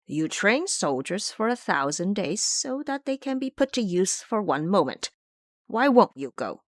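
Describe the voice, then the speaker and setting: A woman's voice: mid-range voice, very animated, a little slow, dry recording, studio quality. A woman voicing a fearful, grumbling old male abbot in an audio drama, bossy and querulous with his underlings. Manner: querulous, scolding.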